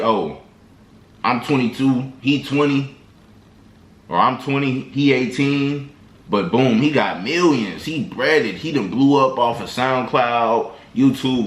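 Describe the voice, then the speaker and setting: A man vlogger giving casual, slangy, rambling motivational advice to his viewers. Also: mid-range voice. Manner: slangy, loose and rambling, lots of restarts. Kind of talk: casual motivational talk to camera. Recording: echoey room.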